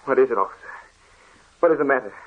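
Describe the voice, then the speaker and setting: An actor playing a grief-stricken young husband, pleading desperately in melodramatic old radio style. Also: male voice, medium pitch, expressive, rapid-fire, slightly rough. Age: young adult. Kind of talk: old radio drama acting. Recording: narrow, crackly old radio recording.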